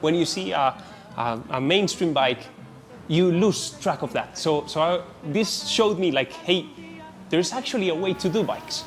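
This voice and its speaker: A man's voice: a little high, unhurried, faint music behind. A man with a Colombian accent, a native Spanish speaker, casually telling his story as a company founder in an interview.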